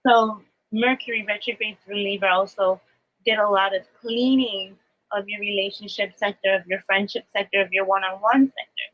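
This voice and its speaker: A woman's voice: medium pitch, fairly even intonation, moderate pace. Woman doing earnest new age coaching, thoughtful explainer, kinda dull lo-fi audio. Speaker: spiritual teacher, astrology adviser. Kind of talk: live spiritual coaching talk, semi-monologue.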